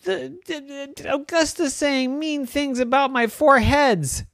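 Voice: high voice, steady tone, takes it slowly, vocal fry, a bit bright, no echo, studio quality, pitched above the performer's own voice, heavily acted. A storyteller doing a whiny, protesting little boy. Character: indignant little boy, the younger brother.

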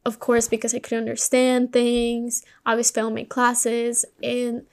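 A woman's voice: fairly high pitch, monotone, measured pace, clean tone. A woman from Colombia, a native Spanish speaker, hosting a podcast and telling a personal story in a candid, informal, conversational way. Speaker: podcast host.

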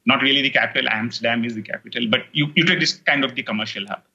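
A man's voice: medium pitch, rapid-fire, creaky, bright, forceful tone, muffled recording. A middle-aged man from India with an Indian English accent, a native Telugu speaker, chatting as an interview guest, rambling with many fillers and restarts. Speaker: guest, online course creator. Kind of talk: casual interview chat.

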